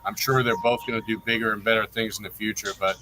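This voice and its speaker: A man's voice: fairly low pitch, fairly even intonation, fast. A man giving a casual testimonial as a livestream guest, over a noisy recording with clicks.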